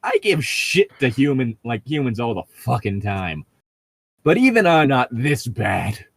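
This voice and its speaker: A man's voice: mid-range voice, sing-song, a little slow, vocal fry, breathy edge, no room sound. A young man chatting casually and reading aloud, with sarcastic, exasperated, swear-heavy commentary. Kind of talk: casual chat with mocking read-aloud commentary.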